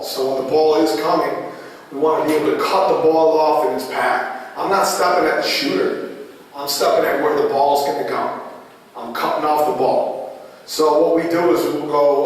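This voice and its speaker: A man with a raspy voice giving a lacrosse goalie coaching clinic, repeating key phrases as he demonstrates. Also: fairly high pitch. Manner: repetitive, drilling phrases, demonstrative. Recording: echoey hall from far off.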